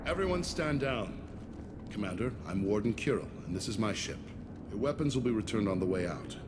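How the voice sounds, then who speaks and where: An actor playing a stern, self-righteous alien warden of a space prison ship in a video game, speaking formally and with authority. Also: male voice, lowish voice, expressive, fairly quick, background noise, close to an everyday voice. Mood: commanding, businesslike. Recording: loud music behind the voice.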